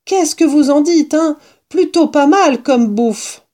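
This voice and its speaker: A woman's voice: high voice, expressive, slow, darkish tone, voice pushed up. A woman voicing a jovial, scheming fox father who relishes a stolen feast, exuberant and commanding. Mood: gleeful.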